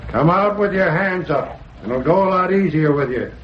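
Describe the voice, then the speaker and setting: An actor playing a ruthless, domineering outlaw leader in an old radio adventure, giving curt, menacing orders. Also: male voice, fairly high pitch, expressive, moderate pace, lightly acted. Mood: shouting threats. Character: ruthless, scheming male outlaw boss, impatient and domineering. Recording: dull, noisy vintage radio recording.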